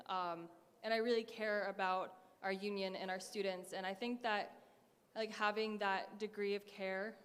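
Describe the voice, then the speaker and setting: A woman's voice: medium pitch, clean tone. A woman in her twenties, a student candidate answering debate questions, hesitant with many fillers and restarts. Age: 20s. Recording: echoey hall.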